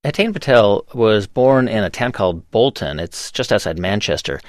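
A male radio host narrating a scene and asking interview questions in a lively, storytelling way. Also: lowish voice, normal speed, dry recording, studio quality.

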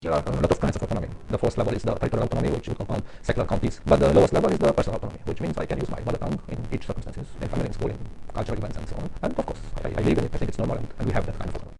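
Male academic interviewee, quick and gravelly, background noise. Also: lowish voice, very animated. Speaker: university professor. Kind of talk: interview answer.

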